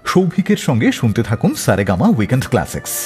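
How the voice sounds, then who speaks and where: A man's voice: medium pitch, very animated, fast. A man hosting a radio music show, narrating from a script. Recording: long reverb, faint music behind.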